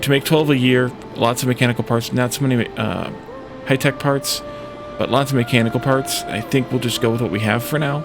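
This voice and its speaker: A man giving casual let's play commentary, thinking aloud in a hesitant, self-questioning way. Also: medium pitch.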